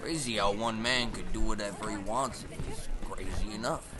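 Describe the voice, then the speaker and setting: A man's voice: on the low side, normal speed, over music, close to an everyday voice. A man playing a chatty, amused gangster thug in an old-time radio drama. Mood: amused, gossipy. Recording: busy crowd behind.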